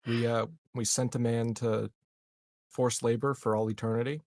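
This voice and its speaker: A man's voice: mid-range voice, flat delivery, moderate pace, darkish tone, no echo. Wry man in a dry, close space. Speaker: tabletop game player. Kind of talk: casual chat.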